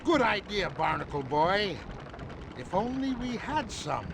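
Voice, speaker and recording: Doddery old superhero geezer, high creaky voice, slow and hammy, cartoon game. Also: male voice, very animated, background noise, exaggerated voice. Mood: befuddled, genial. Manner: pompous heroic declaiming, befuddled. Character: doddering old male superhero, earnest, hammy, easily confused. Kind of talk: cartoon video game acting.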